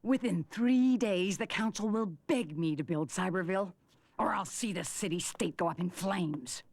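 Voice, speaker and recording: A woman's voice: medium pitch, moderate pace, darkish tone, close to an everyday voice. An actress playing a scheming, power-hungry corporate villainess and mother in a cartoon, imperious and theatrical. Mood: menacing. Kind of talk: animated series voice acting.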